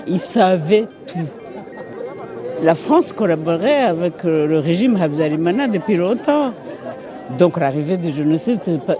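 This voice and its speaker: A man speaking emphatically, repeating phrases, in a street interview at a march. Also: sits high. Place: outdoors at a city street march.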